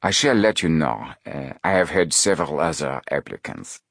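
A man's voice: rumbling low, lively intonation, gravelly, a bit bright, dry recording, exaggerated voice. Man playing suave, hesitant French restaurant manager. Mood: suavely evasive. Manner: hesitant, polite, with drawn-out phrases.

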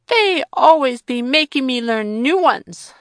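A woman's voice: animated, a little slow, no echo, studio quality. Tiny frightened toddler girl. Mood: unhappy. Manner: high, wailing, childlike broken grammar.

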